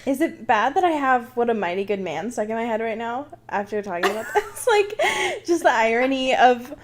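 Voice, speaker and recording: A woman's voice: fairly high pitch, big pitch swings, a little fast, noisy background. A woman co-hosting a casual comedic book discussion, joking, giggly and freely swearing. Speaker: podcast co-host.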